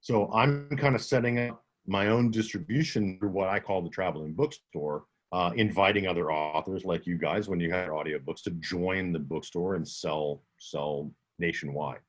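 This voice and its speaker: A man with a slight Southern twang answers questions at a writers' conference over a video call. His voice sits on the low side. He talks conversationally and often restarts his sentences. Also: moderate pace, few pauses. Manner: conversational, with many restarts and self-corrections. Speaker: audiobook narrator and instructor.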